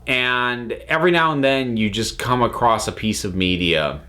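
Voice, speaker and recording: A man hosting a review vlog, talking casually and thoughtfully, with halting phrasing that often doubles back on words. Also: medium pitch, pushed and bright. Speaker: review channel host. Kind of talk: casual spoken review to camera.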